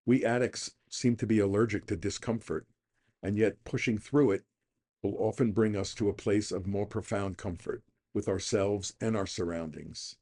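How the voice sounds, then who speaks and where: Low-voiced mature man reading a reflection. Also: moderate pace, darkish tone, husky. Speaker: guest reader of a daily recovery reflection. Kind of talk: scripted reading of a meditation.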